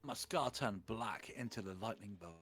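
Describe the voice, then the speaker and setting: A man's voice: lowish voice, normal speed, a bit bright, slightly rough. A man doing a comedic dramatic reading of fan fiction on a livestream, theatrical and mock-serious. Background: faint music.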